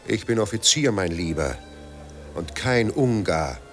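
An actor in a German radio play as a proud, suspicious émigré Austrian writer who drinks, formal and brooding. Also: male voice, fairly low pitch, expressive, measured pace, soft background music. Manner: grandly formal, dramatic, brooding.

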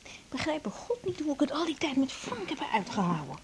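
A woman's voice: high voice, big pitch swings, a little fast. An actress playing a troubled young woman doctor, anxious yet affectionate, in an intimate, naturalistic 1980s radio drama. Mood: confiding, wry. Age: young adult. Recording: 1980s radio drama, faint background noise.

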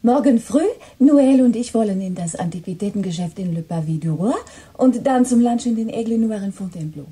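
An actress playing a gracious, sociable wife in a radio play, with a soft, husky voice. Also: female voice, mid-range voice, lively intonation, fairly quick. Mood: gracious, relaxed.

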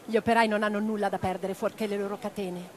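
A woman's voice: mid-range voice, flat delivery, fast talker, close to an everyday voice. An actress playing an impassioned revolutionary woman in a radio play, declaiming with theatrical intensity. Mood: impassioned, defiant. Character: impassioned revolutionary woman orator and letter-writer. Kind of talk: theatrical dramatic monologue. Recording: guitar music behind the voice. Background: plucked guitar music.

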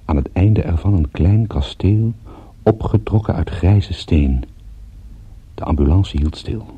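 An actor playing a weary, battered private detective, narrating a radio drama in a measured, grim way. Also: male voice, low voice, dark tone, slightly rough, heavily acted. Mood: groggy, watchful. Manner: measured, grim, literary storytelling. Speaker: narrator and lead of a radio play. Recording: narrowband, muffled old radio recording.

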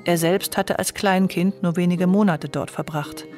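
A woman narrating a radio documentary in a measured, literary first-person style. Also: fairly low pitch, fairly even intonation, a little mellow, soft background music.

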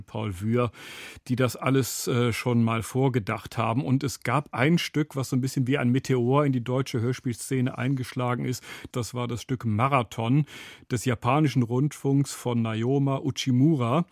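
Male expert guest for a radio interview, average-pitched voice, explanatory delivery, recorded close and dry. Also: no room sound. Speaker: guest radio drama critic. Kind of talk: explanatory studio interview talk. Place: dry broadcast studio. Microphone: close studio microphone.

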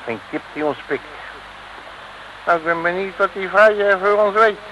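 An actor playing a cocky, wisecracking streetwise detective, in an old radio serial style. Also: male voice, high-pitched, moderate pace, pushed and bright, dull audio. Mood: breezy, joking. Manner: breezy, slangy, wisecracking bravado. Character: cocky, streetwise male detective from Rotterdam, joking braggart. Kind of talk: 1950s-60s Dutch radio serial acting. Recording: noisy old radio recording.

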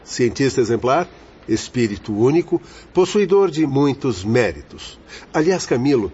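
A man's voice: medium pitch, big pitch swings, normal speed, dark tone, slightly rough. An actor playing a learned, solemn fatherly doctor and spirit guide in a radio drama, speaking formally and didactically. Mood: solemn, instructive. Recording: dull lo-fi audio with faint noise.

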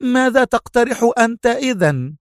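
A man's voice: very high voice, expressive, normal speed, no echo, studio quality, voice pushed up. A man voicing a fiery, impatient young woman agent in a dramatic audio story, speaking in an agitated, insistent way. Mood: angry, exasperated.

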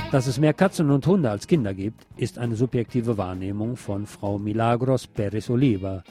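A man's voice: mid-range voice, dark tone. A man presenting local news on the radio in a scripted reading style.

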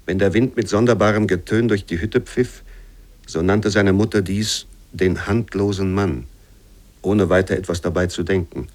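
Low-pitched man as sober literary memoir reader. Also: fairly even intonation, moderate pace, close to an everyday voice. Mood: sober, grave. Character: sober male reader of a bleak childhood memoir. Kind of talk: scripted literary reading for radio.

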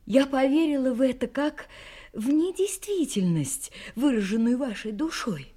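An actress playing a serious, frank young woman in love in an audio drama, speaking in a halting, earnest, sad manner that sinks to a near-whisper. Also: high-pitched, animated, dark tone, slightly rough, heavily acted. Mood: frank, sorrowful. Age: young adult.

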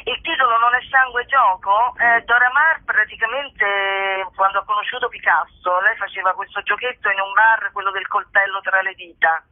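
A woman's voice: mid-range voice, steady tone, normal speed, few pauses. A woman speaking casually in a phone interview as a performer, informal and playful, often thinking aloud. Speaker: performer and playwright, interview guest. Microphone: telephone call. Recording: tinny narrow-band telephone line.

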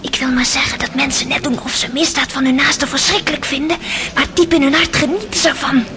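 Actress as a high-voiced, mischievous young woman. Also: fairly even intonation, fast, natural voice. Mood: gleeful, conspiratorial. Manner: mocking, provocative, dropping into whispers. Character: mischievous, taunting young woman relishing scandal. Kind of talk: radio drama acting.

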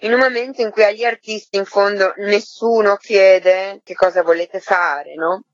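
A woman's voice: medium pitch, steady tone, normal speed, no room sound. Reflective woman on a muffled line. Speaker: interviewed artistic coordinator of a theatre artists' project. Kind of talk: spontaneous radio interview.

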